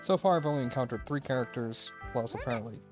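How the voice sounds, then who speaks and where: A man's voice: mid-range voice, quick pace, music in the background. A man hosting a game review video with casual, tentative, unscripted commentary, thinking aloud as he goes.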